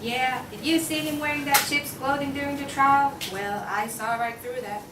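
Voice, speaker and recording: Exasperated, pushy pig juror, played by a woman, higher voice, echoey room with clear background noise. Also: steady tone, normal speed, natural voice. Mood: argumentative. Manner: insistent, exasperated. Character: impatient, argumentative pig juror certain of guilt. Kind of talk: student table read of a comic play. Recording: echoey noisy room, distant mic.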